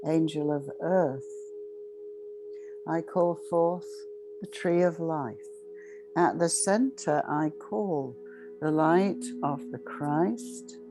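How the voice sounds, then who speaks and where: A woman with a slightly low voice leads a guided meditation at an extremely slow pace. She speaks solemnly, like a ceremonial invocation, leaving long pauses between short phrases. Meditative music with singing bowl tones plays clearly behind her. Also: poor audio.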